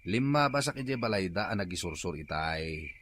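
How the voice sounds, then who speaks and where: An actor with an Ilocano accent playing a playful fish-peddling husband in a comedy radio drama, coaxing and bantering. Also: male voice, sits low, animated, moderate pace, fairly bright tone, a little hiss or hum.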